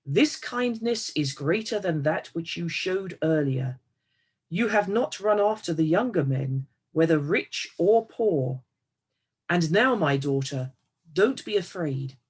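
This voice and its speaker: A woman reading a Bible story aloud as measured narrative, in short, deliberate phrases. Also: sits low, darkish tone, breathy edge. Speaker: scripture reader.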